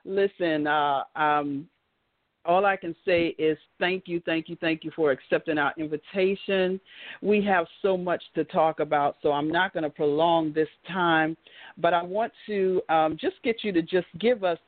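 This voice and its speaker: A woman's voice: lowish voice, unhurried, dry recording. An American woman hosting an online talk show in an upbeat, chatty, devout and exuberant way. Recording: band-limited phone-like line, music behind.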